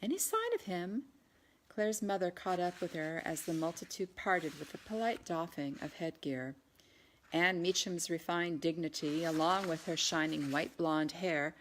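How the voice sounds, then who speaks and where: A woman reading her own novel aloud in an audiobook narration style. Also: deep voice.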